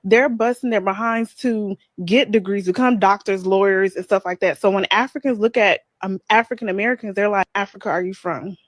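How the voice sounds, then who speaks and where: A woman's voice: medium pitch, a little fast, few pauses, no echo, studio quality. A woman in a casual group debate, rambling and repeating herself with slang. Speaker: discussion guest in a played clip.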